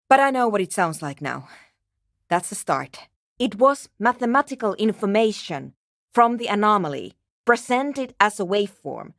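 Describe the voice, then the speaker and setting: A woman voice actor playing a driven, intellectual woman programmer in a video game, with a brisk, matter-of-fact, technical way of talking. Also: mid-range voice, no room sound, studio quality. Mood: awed, determined. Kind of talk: scripted game character dialogue.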